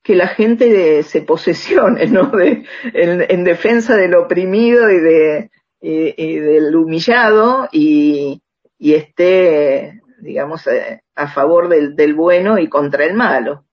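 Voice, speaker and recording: Husky Argentine woman in reflective interview. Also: low-pitched, takes it slowly, dull audio. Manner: checks in with rhetorical tags. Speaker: interviewed author and radio drama researcher.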